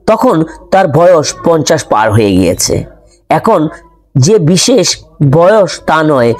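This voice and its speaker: A man with a slightly high voice narrates a classic ghost story. He reads literary prose in the scripted style of an audiobook. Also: a little mellow.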